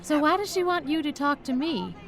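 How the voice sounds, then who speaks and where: High-voiced, curious woman. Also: very animated, brisk, a bit bright, slight background noise, heavily acted. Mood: questioning. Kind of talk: radio drama dialogue.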